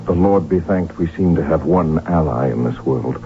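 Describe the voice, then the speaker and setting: A man's voice: rumbling low, animated, moderate pace, a little hiss or hum. An actor playing a proud, desperate middle-aged prince in a radio drama, intense and formal in his delivery. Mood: bitter. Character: proud, desperate, defiant middle-aged male Habsburg heir. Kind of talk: 1970s radio drama acting. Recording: tinny, humming old radio recording.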